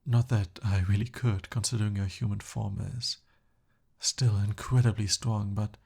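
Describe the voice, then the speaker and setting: A man's voice: fairly low pitch, little pitch movement, moderate pace, creaky, a little mellow, slightly rough. A man playing a pompous young human prince in an ASMR roleplay, speaking formally but flustered and pleading. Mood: embarrassed, pleading.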